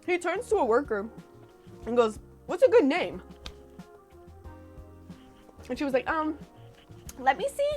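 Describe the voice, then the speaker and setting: A young woman from California tells stories in a high, bouncy voice that swoops up and down. She is chatty and animated, dropping sarcastic asides as she reacts to old posts. Soft music plays faintly behind her. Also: measured pace, long pauses. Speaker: vlogger. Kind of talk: casual reaction vlog storytelling.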